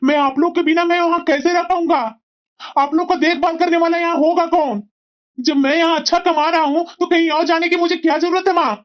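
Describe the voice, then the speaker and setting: A woman plays a young cartoon husband who lays down the law to his wife and her family. The voice is fairly high for him, firm and lecturing, and the recording is dull and lo-fi. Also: fairly even intonation, fairly quick. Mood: earnest. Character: earnest young husband and dutiful son. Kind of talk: cartoon voice acting.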